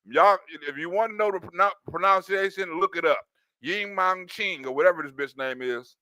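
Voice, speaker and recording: A man giving loose, animated comic commentary on a clip, laughing often and acting out little scenes. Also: high voice, moderate pace, dry recording. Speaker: streamer reacting to a news clip.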